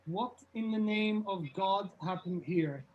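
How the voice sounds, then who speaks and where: A woman's voice: mid-range voice, slow, dull audio, lightly acted. A woman reading the part of a harried, sweary young band member fleeing monsters in a horror comedy table read. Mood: exasperated.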